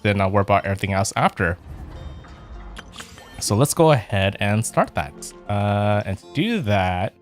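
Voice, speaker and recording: Low-voiced rambling man streaming a game. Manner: rambling, with fillers and chuckles. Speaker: virtual streamer playing a video game. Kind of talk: casual let's play commentary.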